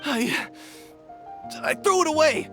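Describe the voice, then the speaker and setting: Brash, melodramatic young bandit, wildly animated. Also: male voice, very high voice, slow pace, music in the background, exaggerated voice. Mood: panicked pleading. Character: young male thieving bandit, sneering bully turned craven beggar. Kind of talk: video game dialogue.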